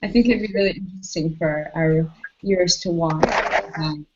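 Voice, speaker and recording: A young American woman hosting a video call interview from a bedroom, asking questions quickly and repeating herself as she goes. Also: low voice, heavy background noise. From United States. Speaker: host. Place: bedroom indoors. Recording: dull lo-fi video call audio.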